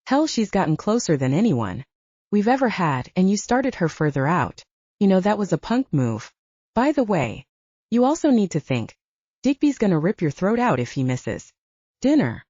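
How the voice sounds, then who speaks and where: A woman's voice: medium pitch, big pitch swings, moderate pace, warm tone, no echo, muffled recording. A woman voicing a brash, loyal male werewolf soldier in an audiobook, talking in slangy, exasperated appeals. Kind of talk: audiobook character dialogue.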